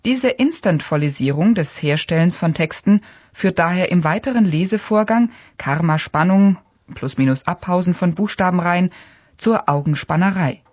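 Measured essay narrator, dry lo-fi sound. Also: mid-range voice, a little mellow, no echo. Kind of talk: experimental radio essay narration.

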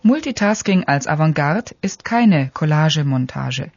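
A voice reading an experimental radio essay in a measured, matter-of-fact way. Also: mid-range voice, lively intonation, lo-fi sound, slight background noise.

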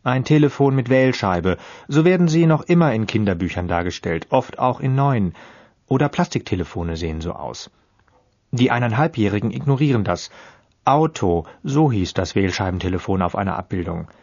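A man's voice: fairly low pitch, expressive, normal speed, darkish tone, dull audio. A man reading an experimental radio piece with a dry, declamatory manner and sudden shifts in tone.

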